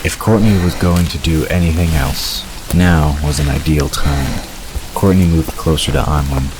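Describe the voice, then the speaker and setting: A man's voice: extremely low pitch, normal speed, music in the background. A man reading scripted story narration for an audio drama. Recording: noisy, rain-like hiss behind.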